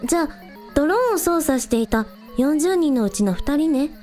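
ラジオドラマで、率直で面倒見のよい元気な若いアイドルの女の子を演じる女性。. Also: female voice, sits high, expressive, a little fast, a little mellow, faint music behind. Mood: concerned. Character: energetic young village idol girl, frank and caring. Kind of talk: amateur anime-like radio drama acting.

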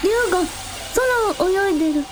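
A woman's voice: very high voice, animated, normal speed, heavy background noise. A woman playing a spirited, protective young idol in a radio drama, with a girlish, informal way of talking. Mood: amazed.

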